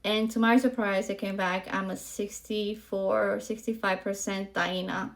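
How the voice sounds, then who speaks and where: Gravelly-voiced podcast host in her forties. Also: mid-range voice, steady tone, unhurried. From Puerto Rico. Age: 40s. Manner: candid, chatty, frequent ums and likes. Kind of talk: casual monologue to camera.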